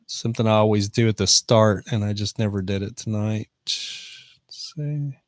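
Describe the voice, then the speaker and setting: A man's voice: fairly low pitch, normal speed, dry recording, clean studio sound. A man in his fifties hosting a casual live stream, chatting and reading out viewer comments. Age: 50s. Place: van in the rain.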